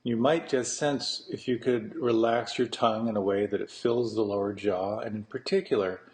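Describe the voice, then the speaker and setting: Male meditation teacher, lowish voice, unhurried guided-practice delivery with deliberate pauses. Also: darkish tone. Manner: slow, deliberate, with long pauses between phrases.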